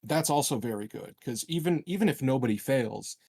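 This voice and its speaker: A man's voice: medium pitch, animated, a little fast, darkish tone, husky. A man playing a tabletop game, chatting casually about rules and plans.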